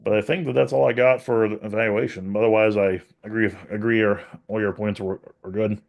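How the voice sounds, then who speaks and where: A man with a lowish voice speaks over a video call. He is evaluating a speech in a thoughtful, self-critical way and often restarts his sentences. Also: steady tone, brisk, gravelly, muffled recording. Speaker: podcast co-host giving speech evaluation. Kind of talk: casual evaluative discussion. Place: virtual meeting.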